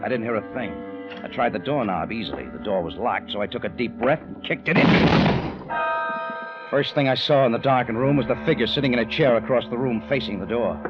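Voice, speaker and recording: An actor plays a tough, wry private eye in vintage radio drama. His clipped narration swings expressively around a medium pitch. The recording is dull and lo-fi. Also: lively intonation, fairly quick, natural voice. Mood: wry narrating. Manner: clipped, wisecracking delivery. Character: wry, tough, hard-boiled male detective narrator. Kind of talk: 1940s radio detective acting, scripted narration. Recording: dull, lo-fi old radio with music.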